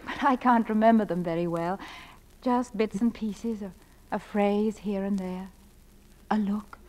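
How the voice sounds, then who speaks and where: A woman acting a gentle, wistful widowed mother in middle age, in 1940s-style radio drama. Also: medium pitch, fairly even intonation, measured pace, close to an everyday voice. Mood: tender, reflective.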